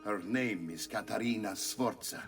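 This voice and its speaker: A man's voice: fairly low pitch, normal speed, fairly bright tone, distant mic, soft background music. An actor playing a commanding, gracious older nobleman in a video game cutscene. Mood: authoritative.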